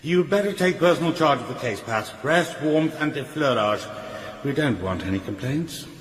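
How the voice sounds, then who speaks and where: A man's voice: medium pitch, lively intonation, normal speed, slight background noise, close to an everyday voice. An actor playing a curt male clinic doctor in a radio drama, clipped, clinical and in charge. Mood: clinical, firm. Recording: echoey room with long reverb.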